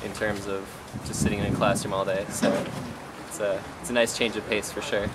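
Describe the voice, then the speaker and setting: A young man with a low, flat voice chats casually about his stay. Wind thumps the microphone and loud outdoor noise runs underneath. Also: brisk. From Nevada, United States. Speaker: landscape architecture student.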